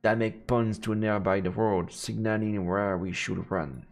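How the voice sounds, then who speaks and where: A man streaming a visual novel, reading the text aloud as measured, dramatic narration. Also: lowish voice, creaky, faint music behind.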